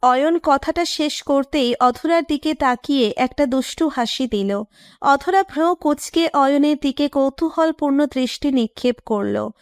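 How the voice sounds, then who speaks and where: A woman's voice: sits high, measured pace, dry recording, studio quality. A woman reading scripted narration for a romantic audio story as its narrator.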